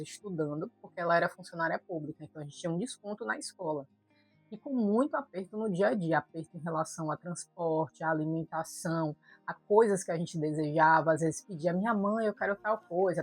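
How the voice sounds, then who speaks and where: Earnest Bahian woman with husky voice. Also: medium pitch, dry recording. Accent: Bahian Brazilian Portuguese. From Brazil, Salvador, Bahia. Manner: earnest, conversational, addresses viewers directly. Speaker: doctor vlogger. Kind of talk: personal storytelling vlog.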